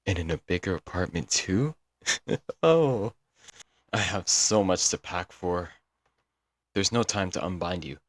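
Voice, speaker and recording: A man's voice: deep voice, lively intonation, measured pace, long pauses, no room sound. A man playing a young, lovesick and clingy stalker in an intimate, earnest ASMR audio roleplay. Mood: giddy, excited. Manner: doting, earnest, dramatic with sighs and chuckles. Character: lovesick, broke young male yandere stalker-kidnapper, clingy and sweet.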